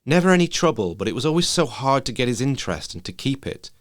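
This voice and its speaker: A man narrating a literary ghost story in a measured, hushed manner of short phrases. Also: mid-range voice, sing-song, dry recording, clean studio sound.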